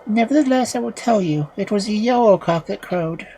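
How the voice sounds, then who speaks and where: A woman voicing a gentle, lovestruck young man in a fairy tale, speaking in a hushed, coaxing way. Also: mid-range voice, lively intonation, normal speed, a little hiss or hum, voice pushed up, lightly acted.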